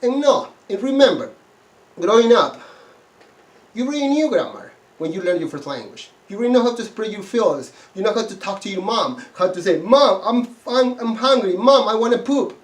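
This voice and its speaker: Spanish-accented man with a bright, high voice. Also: big pitch swings, normal speed, roomy sound, low-quality recording. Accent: Peruvian Spanish. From Peru. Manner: earnest, enthusiastic, with frequent restarts and repeated words. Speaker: Spanish teacher. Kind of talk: self-introduction video pitch.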